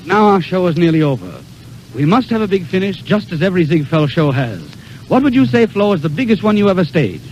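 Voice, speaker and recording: High-pitched, lively 1930s radio emcee man. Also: fairly quick, lo-fi sound, slight background noise. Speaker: radio show host. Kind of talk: 1930s radio master of ceremonies. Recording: vintage 1930s radio recording.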